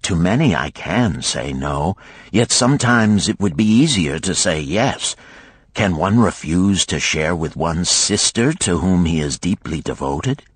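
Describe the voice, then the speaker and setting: A man's voice: sits low, expressive, measured pace, breathy edge, dry recording, exaggerated voice. A man voicing a stolid, troubled spearmaker of ancient times in a storybook, speaking earnest, archaic English. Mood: troubled, pleading. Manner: plain, earnest archaic speech. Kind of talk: audiobook storytelling.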